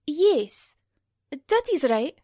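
A blunt, excitable woman with a Spanish accent in a forties radio play. Her voice is very high and swoops dramatically, with very slow, stretched words. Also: no echo, studio quality, exaggerated voice. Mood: shocked. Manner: dramatic, exclaiming. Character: excitable Latin woman, blunt restaurant guest. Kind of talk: 1940s radio drama acting.

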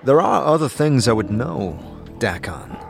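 A man plays an earnest wandering adventurer in a fantasy audio drama. He is curious and direct, with an unfussy, plain delivery. Clear music runs behind the voice. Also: mid-range voice, sing-song, measured pace.

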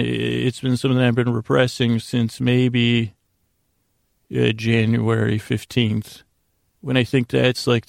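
Male podcast host, heavily creaky voice, halting and digressive bedtime rambling, very close dry sound. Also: medium pitch, no echo. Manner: meandering, halting, self-interrupting, digressive.

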